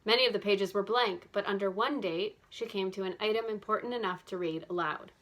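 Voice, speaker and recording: A woman's voice: medium pitch, brisk, fairly bright tone. A woman reading scripted narration from a children's mystery novel as an audiobook narrator.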